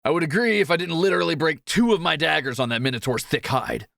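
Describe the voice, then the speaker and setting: A man's voice: on the high side, fast talker, vocal fry, fairly bright tone, no room sound, studio quality. A man playing a cocky, greedy thief in a fantasy audio roleplay, teasing and sarcastic with swaggering banter. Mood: insistent.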